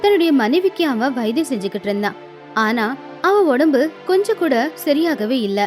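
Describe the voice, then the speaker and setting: A woman's voice: high voice, big pitch swings, a little fast, darkish tone, soft background music. A woman reading scripted storybook narration as the narrator of a tale.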